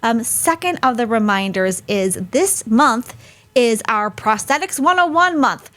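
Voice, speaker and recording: A woman's voice: a little high, lively intonation, unhurried, a bit bright. A woman hosting a live webinar over a video call, chatty and friendly, laughing easily. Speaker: host.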